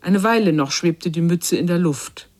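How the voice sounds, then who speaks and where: An actress playing a grave, unsparing narrator in a literary radio drama, speaking in measured, incantatory short phrases. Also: female voice, lowish voice, darkish tone, lightly acted. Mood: grave, melancholy. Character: female narrator of a child's inner torment, grave, unsparing.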